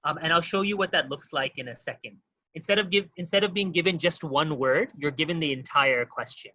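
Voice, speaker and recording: Quick, bright-voiced man teaching a webinar. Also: a little high, no echo, highs cut off. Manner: quick, informal, addresses audience as guys, many fillers. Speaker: exam-prep teacher.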